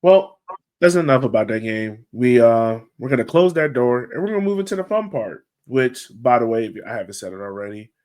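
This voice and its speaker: Male podcast host, medium pitch, informal and conversational, easy sports chat. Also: expressive, a little fast, darkish tone.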